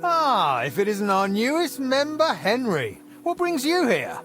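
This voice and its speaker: Hearty guildmaster, very animated. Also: male voice, very high-pitched, unhurried, soft background music, full character voice. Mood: jovial. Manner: hearty, expressive. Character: jovial, dice-loving older medieval guildmaster, hearty and comradely. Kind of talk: video game character dialogue.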